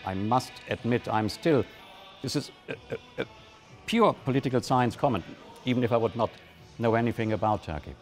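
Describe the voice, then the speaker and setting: A man from Germany, giving measured, deliberate political analysis on a live news panel. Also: on the low side, darkish tone, a little hiss or hum. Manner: measured, deliberate, with emphatic rises.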